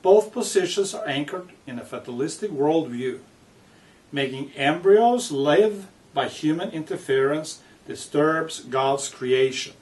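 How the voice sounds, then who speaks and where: A man reading his own book aloud with commentary, speaking in deliberate, emphatic phrases broken by pauses. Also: fairly high pitch, lively intonation, a little mellow. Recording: distant microphone.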